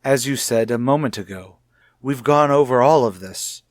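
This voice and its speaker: An actor playing a cold, short-tempered, domineering husband in a 1950s radio soap, formal and condescending. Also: male voice, medium pitch, normal speed, no echo, studio quality, lightly acted. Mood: irritated, dismissive. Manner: formal, condescending, clipped disapproval.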